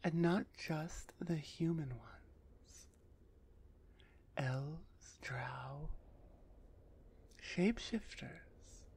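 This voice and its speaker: Female minotaur executioner, played by a woman: slow, raspy half-whisper, gruff, impatient, sardonic, ASMR roleplay delivery. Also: deep voice, darkish tone, full character voice. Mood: grudging respect. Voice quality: husky, breathy, often half-whispered. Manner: impatient, sardonic, addressing a captive.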